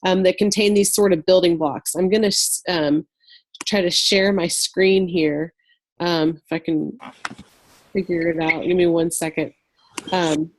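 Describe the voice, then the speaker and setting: A woman's voice: medium pitch, darkish tone, a little hiss or hum, low-quality recording. A woman in her thirties with a head cold and a slightly nasal voice, presenting an online wellness class in a chatty way with many fillers.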